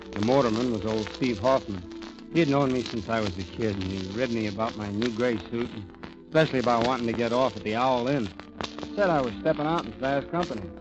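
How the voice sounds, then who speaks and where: A man's voice: mid-range voice, lightly acted. An actor playing an earnest, bewildered young man accused of murder, who also narrates, in 1940s radio drama style. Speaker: narrator and lead. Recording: dull old radio recording with music.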